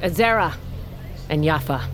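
A woman's voice: low-pitched, expressive, normal speed, over music. A middle-aged woman playing an imperious warrior queen in an audio drama, cold and interrogating. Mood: stern, accusing.

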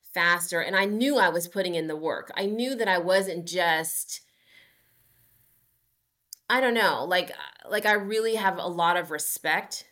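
A woman's voice: on the low side, unhurried, fairly bright tone. A woman in her forties, a guest on a podcast, talking earnestly and upbeat about her running, with frequent fillers. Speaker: guest, amateur marathon runner. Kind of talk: casual podcast interview.

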